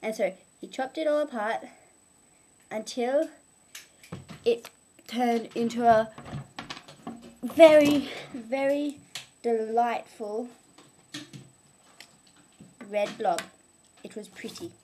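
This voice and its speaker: A young woman tells silly homemade stories in a fairly high voice at an unhurried pace. She is informal and playfully instructive, as if improvising. There is faint noise in the recording. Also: dark tone. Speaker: amateur storyteller. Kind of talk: informal, improvised humorous storytelling.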